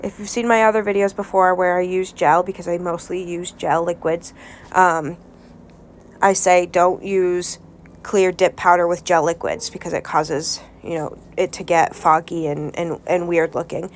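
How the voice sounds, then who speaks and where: A woman's voice: fairly low pitch, little pitch movement, normal speed, slight background noise. A woman vlogger with a stuffed-up nose from a cold, giving a casual nail tutorial at home with lots of ums and restarts. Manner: hesitant, many ums and restarts.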